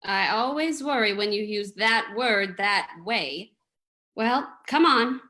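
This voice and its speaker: A woman playing a sleuth's brisk, wry and inquisitive lady companion in an old-time radio drama. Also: medium pitch, sharp tone. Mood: wry, exasperated.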